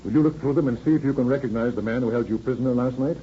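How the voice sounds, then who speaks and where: Actor as fast-talking American police captain. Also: male voice, medium pitch, lightly acted. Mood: courteous, businesslike. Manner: clipped, matter-of-fact, slangy. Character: brisk, businesslike, polite police detective captain. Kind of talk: 1930s radio acting. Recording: muffled, noisy vintage radio recording.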